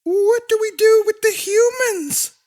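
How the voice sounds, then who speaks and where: A man's voice: extremely high pitch, measured pace, a little mellow, voice pushed up. A man voicing a cheeky, gleefully gruesome monster big sister in an audiobook story. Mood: whiny, eager.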